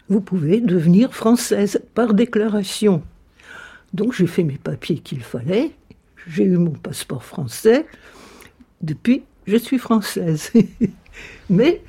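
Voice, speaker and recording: A woman's voice: on the low side, warm tone, husky. An older woman telling a personal anecdote, acting out the lines of the people in it. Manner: anecdotal, acts out quoted dialogue. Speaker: interviewee.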